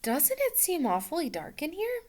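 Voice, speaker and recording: A woman's voice: sits high, huge pitch swings, normal speed, a little mellow, pitched above the performer's own voice. Young storybook princess read by a woman: sweet on the surface, cunning, assertive. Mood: sweetly coaxing.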